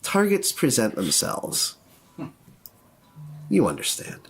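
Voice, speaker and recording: A man's voice: medium pitch, huge pitch swings, slow, vocal fry, soft background music, heavily acted. A man playing a snooty, rich, popular teenage girl in a tabletop game, with a condescending manner. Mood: haughty. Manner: haughty, condescending.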